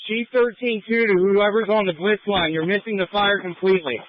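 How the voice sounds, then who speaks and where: A man's voice: high voice, fairly even intonation, a little fast, no echo. A man working as a fire chief, giving direct, clipped commands over a two-way radio in emergency traffic. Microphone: radio handset. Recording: muffled lo-fi fire radio transmission.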